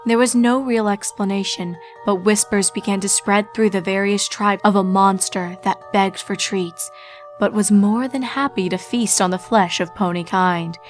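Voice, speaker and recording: A woman narrating a dark fanfiction story in steady, solemn, scripted storytelling. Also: mid-range voice, normal speed, faint music behind.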